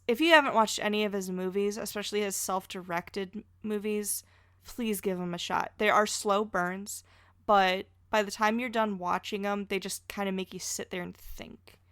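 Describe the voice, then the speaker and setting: A woman's voice: medium pitch, steady tone, normal speed, a bit bright, dry recording, clean studio sound. A woman chatting casually as a podcast guest, effusive and self-deprecating. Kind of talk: casual pop-culture podcast chat.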